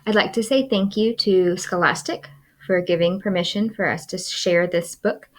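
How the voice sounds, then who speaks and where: A woman who works as a school librarian, reading a children's picture book aloud with expressive, dramatic storytelling. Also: lowish voice.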